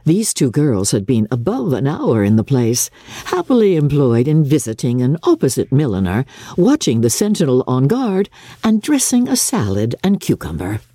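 Female narrator for classic literature. Low register, husky edge, theatrical and measured storytelling. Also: very animated, mellow, dry recording. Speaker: audiobook narrator. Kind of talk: dramatic literary reading.

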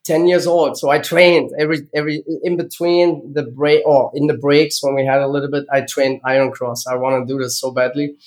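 A man in his fifties from East Germany with a German accent, speaking English as a second language and telling his life story as a guest in an interview, halting and searching for words. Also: medium pitch, flat delivery, poor audio. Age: 50s. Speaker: guest, former gymnast.

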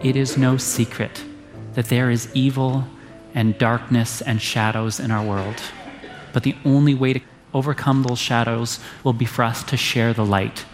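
A man serving as pastor leads a solemn memorial, reading a measured litany of thanks from the pulpit. His voice rings through a reverberant church hall, with faint music underneath and a mid-range pitch. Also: steady tone. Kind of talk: memorial eulogy. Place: church sanctuary.

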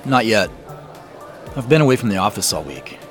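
A man's voice: lowish voice, very animated, normal speed, soft background music. An actor playing a casual, self-deprecating man under questioning in an audio drama, speaking informally and a little guardedly. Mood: guarded, apologetic. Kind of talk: naturalistic audio drama dialogue.

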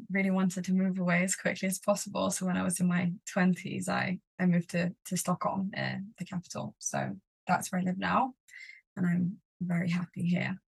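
A woman's voice: lowish voice, vocal fry, husky, rough recording. A young woman from Sweden, a spoken word poet, talking casually as a podcast guest with lots of fillers and restarts. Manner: chatty, lots of "like" and "um", frequent restarts. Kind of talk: casual interview talk.

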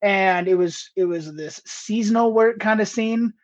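A man's voice: sits high, a little slow. A man from Ohio, a stand-up comic, chatting casually and rambling on a podcast. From Ohio, US Midwest. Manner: rambling, laughs easily. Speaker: stand-up comedian, guest. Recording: dull lo-fi sound.

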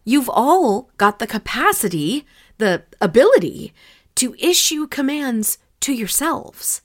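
A gentle AI crew member in an audio drama, with a fairly high voice that moves around a lot. It speaks in a hesitant, reassuring way, like an encouraging mentor. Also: female voice, very animated, slow, full character voice. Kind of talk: scripted audio drama acting.

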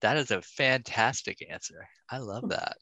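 A man hosting a podcast interview casually, setting up his questions in a deliberate way. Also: medium pitch, animated, sharp tone, dry recording. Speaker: podcast host.

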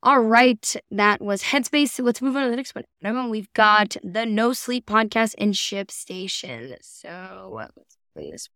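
Woman, smooth clear voice, dry studio-like sound, conversational, agreeable podcast co-host. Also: fairly high pitch, animated, normal speed, few pauses, a bit bright, dry recording. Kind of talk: casual discussion and review of ads.

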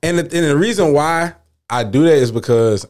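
A man's voice: on the high side, normal speed, a little mellow. A man, talking in loose slang on a casual podcast, full of filler and emphatic repeats. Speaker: podcast guest.

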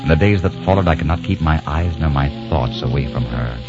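An actor playing a romantic, earnest American gentleman in a 1940s radio drama, with a courtly, dramatic delivery. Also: very deep voice, brisk. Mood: yearning. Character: romantic American time traveler posing as an English cousin. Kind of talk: 1940s radio acting, first-person narration and dialogue. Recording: dull 1940s radio recording with music.

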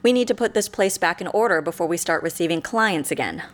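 A woman's voice: lowish voice, animated, fast, natural voice. An actress playing a dry, sceptical, practical woman in an audio comedy, wry and matter-of-fact. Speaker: actor in a comedy fiction podcast. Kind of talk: audio comedy drama acting.

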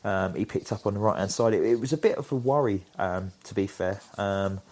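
A chatty man talks eagerly about football as a podcast co-host. His voice sits fairly low, and he rambles with plenty of fillers. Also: brisk, darkish tone. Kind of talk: casual football chat.

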